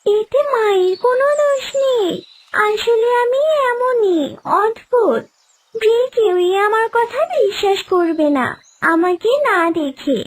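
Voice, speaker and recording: A performer voicing a kind magical talking fish in a children's cartoon, with a gentle, measured delivery. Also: very high-pitched. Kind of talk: children's cartoon story dialogue.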